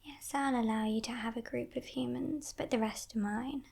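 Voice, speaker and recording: A woman voicing a playful, menacing female demon in a breathy, teasing whisper for an ASMR roleplay. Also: on the high side, steady tone. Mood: coaxing, menacing. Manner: teasing, condescending, softly sinister, unhurried.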